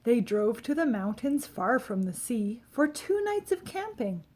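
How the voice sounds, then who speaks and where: A woman reads a children's storybook aloud in a somewhat high voice. She leans into the rhymes with animated, dramatic emphasis, stretching out key words for young listeners. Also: unhurried, a little hiss or hum. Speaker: storybook reader. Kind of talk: expressive read-aloud of a rhyming children's picture book.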